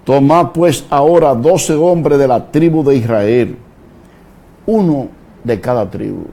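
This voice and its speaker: A man preaching a fiery sermon, slow and deliberate, breaking into sudden loud shouted peaks. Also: medium pitch, creaky.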